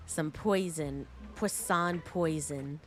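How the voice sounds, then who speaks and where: A woman's voice: deep voice, slow pace, faint music behind. A woman streaming a game with casual, chatty commentary in a playful, rambling manner.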